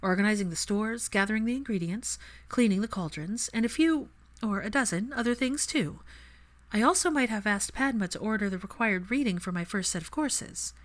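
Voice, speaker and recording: A woman's voice: mid-range voice, brisk, voice pushed up, close to an everyday voice. A woman voicing an earnest, busy-minded young woman in an audiobook, eagerly explaining herself. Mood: sheepish.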